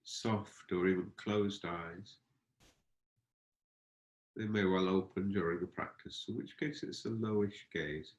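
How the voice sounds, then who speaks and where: A man leading a guided meditation as a teacher, speaking gently and unhurriedly, feeling out his phrases with pauses. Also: sits low, fairly even intonation, muffled recording. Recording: roomy, echoing space.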